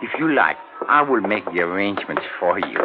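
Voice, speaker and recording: An actor playing a slippery, obsequious go-between in a vintage radio drama. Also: male voice, deep voice, very animated, brisk, over music. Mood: ingratiating. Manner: obsequious, wheedling. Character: slippery, ingratiating foreign male go-between hustling commission. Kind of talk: 1950s radio drama acting. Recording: dull old radio broadcast.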